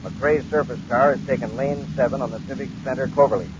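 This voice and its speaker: An actor playing a businesslike space patrol dispatcher, brisk and procedural, in a 1950s radio serial. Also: male voice, mid-range voice, fairly even intonation, close to an everyday voice. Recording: muffled old radio recording with heavy noise.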